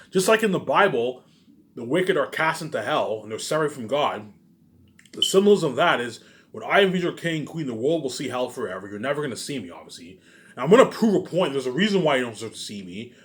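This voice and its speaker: Male vlogger, medium pitch with big swings; rambling, accusatory rant, repetitive and profane. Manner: emphatic and repetitive, punctuated with muttered tag words. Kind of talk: rambling, ranting monologue addressed to viewers.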